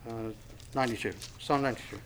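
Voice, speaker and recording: A man's voice: medium pitch, fast talker, slight background noise. A man in his sixties teaching a Bible study in a folksy, self-deprecating way, with many fillers and restarts. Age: 60s. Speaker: pastor.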